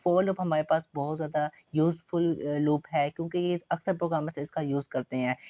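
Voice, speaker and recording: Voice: medium pitch, darkish tone. A teacher with a Pakistani accent giving a recorded exam-prep lecture, working through each step patiently and repeating points. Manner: patient, repetitive explaining, steps worked through slowly. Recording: dull lo-fi recording.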